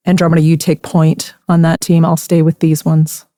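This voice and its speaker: A woman voices a commanding, level-headed fighter in a fantasy game. Her tone is dark and slightly low, her delivery decisive, the sound very dry. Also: level tone, moderate pace, no room sound, close to an everyday voice. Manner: matter-of-fact, decisive.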